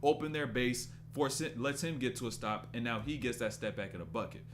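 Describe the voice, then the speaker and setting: A man's voice: medium pitch, a little fast, noisy background. A man in his twenties doing casual live-stream basketball commentary, loose and rambling as he stops to answer chat. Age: 20s.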